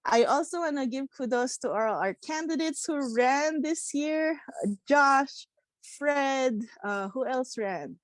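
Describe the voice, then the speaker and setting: A woman's voice: high voice, lively intonation, takes it slowly, no room sound. A woman hosting an online community meeting, loose and chatty. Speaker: meeting host.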